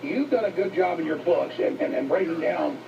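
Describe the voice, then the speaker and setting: A gravelly man hosting a talk interview in an insistent, repetitive way, heard through radio playback. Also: fairly high pitch, a little fast, distant mic. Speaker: talk show host interviewing a guest. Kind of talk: forceful broadcast interview. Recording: dull, noisy radio playback from off mic.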